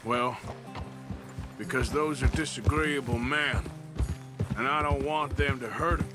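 An actor playing a gruff, wry American outlaw in a Western video game, with a drawl and a dryly sardonic manner. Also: male voice, mid-range voice, steady tone, unhurried, music in the background, natural voice. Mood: reassuring. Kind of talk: dramatic video game acting.

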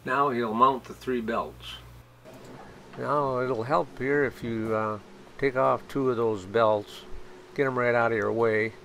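A man's voice: medium pitch, takes it slowly, gravelly. An older man from the States narrating an assembly how-to in a plain, hesitant way with many fillers. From United States. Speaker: video host showing machine assembly. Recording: voice recorded outdoors with steady background noise.

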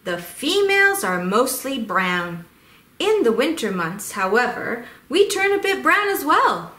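A woman's voice: a little high, sing-song, a little slow, sharp tone, exaggerated voice. A woman voicing a cheerful male songbird in an educational children's puppet show, chirpy and explanatory. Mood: cheerful, informative. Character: cheerful male indigo bunting bird, proud father-to-be. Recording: roomy echo.

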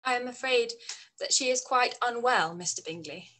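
Woman playing witty polite young regency lady, highish voice, composed, radio play read. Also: normal speed, pushed and bright, low-quality recording. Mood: polite, reserved. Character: witty, self-possessed young gentlewoman. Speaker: actor in live read. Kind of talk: period radio play acting over video call. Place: small room at home. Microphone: video call.

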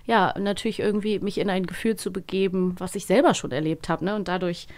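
A woman's voice: medium pitch, fairly even intonation, fast, a little mellow. Heartfelt young female podcast host. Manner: heartfelt, hesitant with many fillers. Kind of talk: interview chat. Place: recording studio.

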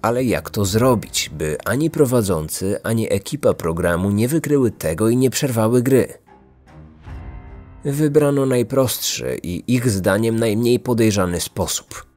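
A man's voice: mid-range voice, darkish tone. A man in his thirties reading scripted true-crime narration as a podcast host.

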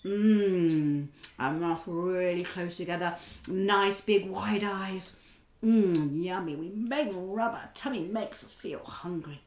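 A middle-aged woman leading a children's drama class and telling a story in an animated, expressive, encouraging way. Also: on the low side, takes it slowly, echoey room.

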